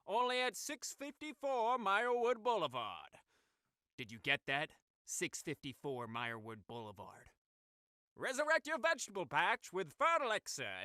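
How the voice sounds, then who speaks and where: A man playing an exuberant, hammy pitchman in a parody radio commercial for garden products. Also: bright, forceful tone, no room sound, studio quality, exaggerated voice. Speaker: advert announcer.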